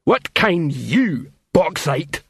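A man's voice: on the high side, big pitch swings, very slow, husky, pitched above the performer's own voice, full character voice. Guy doing a big troll drill sergeant, broken grammar, blustery, swoops all over, storybook style. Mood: bullying, blustering.

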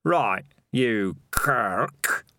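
A man's voice: medium pitch, animated, fairly quick, no echo, clean studio sound, lightly acted. A man voicing a fierce, scornful, battle-hardened rat leader in an audiobook, commanding and defiant. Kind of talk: audiobook character voice.

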